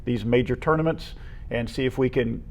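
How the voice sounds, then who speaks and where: A man's voice: mid-range voice, normal speed, a little mellow. American guy co-hosting a sports show, just chatting casually in an everyday mid-range voice. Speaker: co-host.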